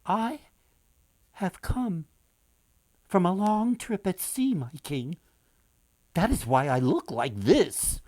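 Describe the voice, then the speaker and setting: Man voicing a halting, scheming beggar woman. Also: high-pitched, lively intonation, darkish tone, dry recording, voice pushed up, heavily acted. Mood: feigned weariness.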